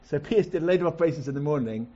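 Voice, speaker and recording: Man hosting a stage chat show, chatty and halting, mellow voice, slightly dull lo-fi sound. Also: fairly high pitch, animated. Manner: chatty, halting, many restarts. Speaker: host of a chat-show-style event. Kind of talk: live chat show interview. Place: stage before a live audience.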